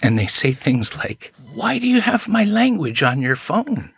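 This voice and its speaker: A man's voice: fairly high pitch, very animated, measured pace, creaky, a bit bright, slightly rough, no echo. A man, a podcast guest telling anecdotes in an earnest, self-deprecating way. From Canada. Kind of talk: casual chat, storytelling.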